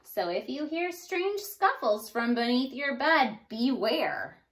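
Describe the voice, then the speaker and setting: A woman in her thirties giving an expressive storybook read-aloud for young children, like a teacher addressing her class. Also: fairly high pitch, slow pace. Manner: animated, addressing young children, sounding out rhymes. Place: small indoor room.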